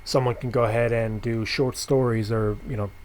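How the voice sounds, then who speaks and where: A man's voice: medium pitch, fairly even intonation, moderate pace, darkish tone. A man hosting a casual podcast interview, feeling his way through questions and rephrasing as he goes.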